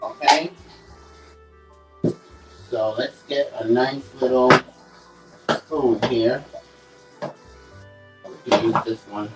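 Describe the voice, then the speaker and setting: A man's voice: medium pitch, extremely slow, pauses often, over loud music. A young man talking casually through a home cooking demonstration, often saying "okay" and "guys" to his viewers. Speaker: cooking vlogger. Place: small home kitchen, indoors. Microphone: camera set on the counter, away from him. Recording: echoey kitchen, voice from a distance.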